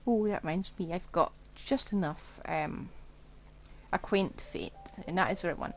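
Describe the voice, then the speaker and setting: A woman's voice: on the low side, moderate pace. A woman giving casual live gameplay commentary, chattily thinking aloud to herself. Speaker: game streamer. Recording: clearly noisy background.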